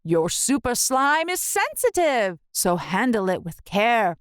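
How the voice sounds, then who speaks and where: A woman's voice: fairly high pitch, very animated, takes it slowly, smooth, no room sound, studio quality. A woman voicing a grand, salesy advert and warning label in a children's storybook. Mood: cautionary.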